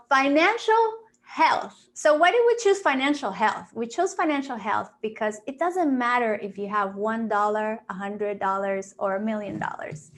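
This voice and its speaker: A woman from Peru, a financial planner giving an upbeat, encouraging webinar talk over a video call, with fillers and restarts. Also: fairly high pitch. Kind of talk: webinar presentation.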